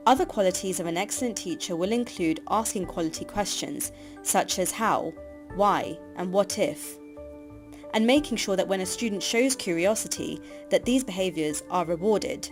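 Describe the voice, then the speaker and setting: A woman giving scripted narration for a teacher training course, reading in an even, measured way. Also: mid-range voice. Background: background music.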